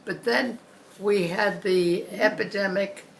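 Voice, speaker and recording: A woman in her nineties with a gravelly throat, telling old family stories in a rambling, halting way full of uh's. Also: mid-range voice, steady tone, echoey room, background noise. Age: 90s. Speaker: birthday celebrant. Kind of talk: casual family storytelling.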